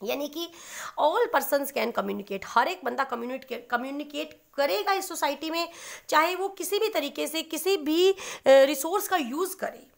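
A young woman teacher explaining handwritten notes, mixing Hindi and English, reading dates slowly with many fillers. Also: high voice, vocal fry. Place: indoors at a desk. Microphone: phone camera over notebook.

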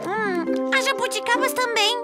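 An eager little cartoon girl, friendly and exclamatory. The voice is very high and slightly unhurried, over loud music. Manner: bright-eyed, exclamatory. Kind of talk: animated children's cartoon acting.

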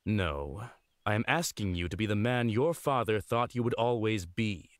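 A man voicing a loyal, dutiful knight retainer in a fantasy game, formal and courteous with a deadpan streak. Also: fairly low pitch, normal speed, dry recording, clean studio sound, close to an everyday voice. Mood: earnest, persuasive. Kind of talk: fantasy game character acting.

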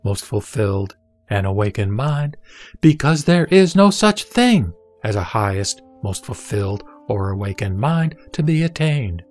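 A man playing the Buddha as a serene teacher, reciting scripture in a measured, didactic, emphatic way. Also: fairly low pitch, sing-song, darkish tone, faint music behind. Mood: calm, instructive. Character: the Buddha, serene male spiritual teacher instructing a disciple. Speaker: sutra audio drama reader.

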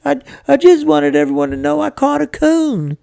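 Narrator acting out a high-pitched, stammering farm boy. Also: flat delivery, moderate pace, vocal fry, warm tone, no echo, clean studio sound. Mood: tearful, hurt. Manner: stammering, breathless exclamations. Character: excited young farm boy, earnest and tearful.

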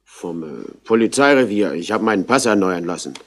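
An actor playing an evasive, nonchalant young pimp in a 1960s crime radio drama. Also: male voice, low voice, expressive, normal speed.

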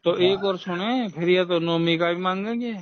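Higher-voiced Haryanvi man on a muffled call. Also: normal speed, dry recording. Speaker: official on a phone call. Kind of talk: casual phone conversation. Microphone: phone call recording. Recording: dull lo-fi recorded phone call.

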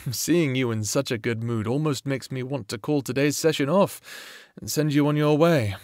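A dry, close recording of a man acting an attentive doctor in an audio roleplay. His medium-pitched voice is measured and polite. He probes gently, like a therapist in session. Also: no room sound, natural voice. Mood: pleased. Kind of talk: audio roleplay acting, one-sided conversation.

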